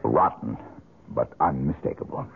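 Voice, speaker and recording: Low, husky, bitter painter. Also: male voice, expressive, moderate pace, heavily acted. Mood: bitter, resigned. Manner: curt, sardonic, weary. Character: bitter, world-weary male painter, guarded and cynical. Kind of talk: 1970s radio drama acting. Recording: muffled, narrow-band 1970s radio recording.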